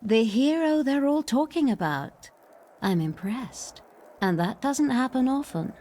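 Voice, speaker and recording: A woman's voice: high voice, lively intonation, measured pace, mellow, slight background noise. A woman playing a haughty, seductive noblewoman mayor in a video game, poised and formal. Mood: coolly charming. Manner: poised, imperious, flirtatiously formal.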